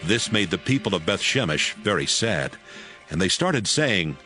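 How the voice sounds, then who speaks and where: A man's voice: sits low, sing-song, moderate pace, sharp tone, soft background music. A man narrating a dramatized audio Bible as scripted storytelling.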